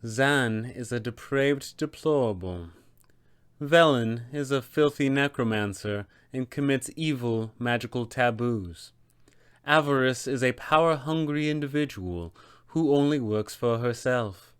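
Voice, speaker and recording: A man voicing a haughty, scheming female wizard diviner with a measured, disdainful delivery. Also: medium pitch, smooth, dry recording, clean studio sound, natural voice. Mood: scornful.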